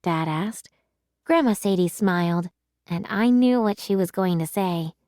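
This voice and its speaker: A woman's voice: fairly low pitch, measured pace, a little mellow, no echo, clean studio sound. A woman narrating a children's storybook in a scripted read-aloud style.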